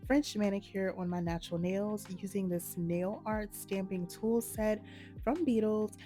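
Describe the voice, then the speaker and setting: A woman hosting a nail art channel, slightly hoarse from a cold, talking viewers through a manicure step by step in a relaxed, matter-of-fact way. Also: medium pitch, a little slow, faint music behind. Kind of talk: casual step-by-step tutorial narration.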